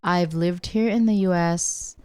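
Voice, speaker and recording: A woman's voice: fairly low pitch, unhurried, dark tone, clean tone, no room sound. A woman in her forties from the Philippines, a podcast guest telling her story in an easygoing way. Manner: easygoing, laughs often. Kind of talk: casual interview chat.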